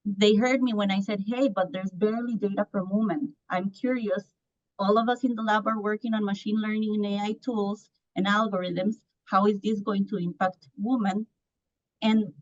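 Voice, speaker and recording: A woman from Costa Rica in her thirties, a startup founder answering on a panel in an earnest way with many restarts. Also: medium pitch. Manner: earnest, lots of restarts and fillers. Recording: muffled lo-fi audio.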